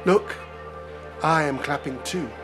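A man's voice: on the high side, takes it slowly. A man giving a solemn, dramatic reading of a poem. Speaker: poetry reader. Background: music under the reading.

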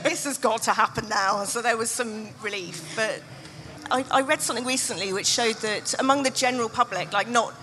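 A woman's voice: a little high, brisk, sharp tone, faint music behind, low-quality recording. A British woman, a nature writer, talking informally in a live on-stage conversation. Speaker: nature writer and podcast host. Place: festival stage.

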